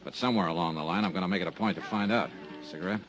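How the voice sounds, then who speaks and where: An actor playing a wisecracking insurance investigator in a 1950s radio drama, talking dry and laconic. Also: male voice, sits low, rapid-fire, close to an everyday voice. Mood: flirting. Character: wry tough male private insurance investigator. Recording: old radio recording with music.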